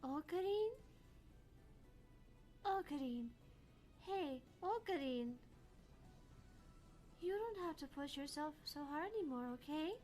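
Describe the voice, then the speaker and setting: A woman voicing a gentle, childlike girl in a visual novel game, speaking softly and soothingly. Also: sits high, lively intonation, slow pace, pauses often, faint music behind. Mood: tender, soothing. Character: gentle, childlike girl comforting a grieving friend.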